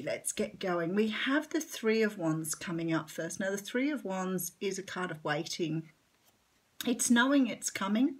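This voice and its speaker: A woman with an Australian accent giving a chatty tarot reading, her voice a little croaky this morning, with lots of ums. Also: lowish voice, poor audio. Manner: chatty, warm-hearted, rambling with many ums. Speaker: tarot reader. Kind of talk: casual tarot reading vlog.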